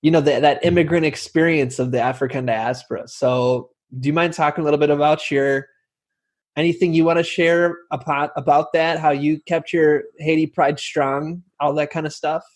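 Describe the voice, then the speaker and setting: A man's voice: fairly high pitch, fairly even intonation, normal speed. Rambling man hosting a casual interview. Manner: rambling, many fillers and restarts. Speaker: podcast host.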